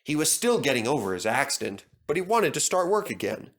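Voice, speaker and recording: A man reading a children's storybook aloud as narrator. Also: mid-range voice. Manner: expressive, measured.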